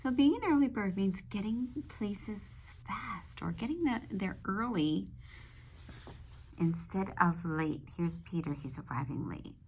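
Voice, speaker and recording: A woman teacher reading a children's book aloud, pausing to explain simply and check in with her young listeners. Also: mid-range voice, lively intonation, darkish tone, a little hiss or hum, rough recording. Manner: instructive, addressing young children with check-in questions.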